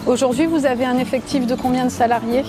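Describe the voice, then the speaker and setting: Lady interviewer, polite formal questions, highish voice, music clearly playing under her. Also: fairly even intonation, fast. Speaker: interviewer for a local media outlet.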